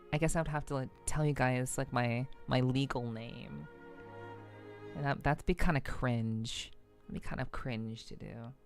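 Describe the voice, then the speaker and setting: Low-voiced woman streaming. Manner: rambling, halting, repeats phrases. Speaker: VTuber streamer. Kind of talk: casual livestream chat with viewers. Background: faint music.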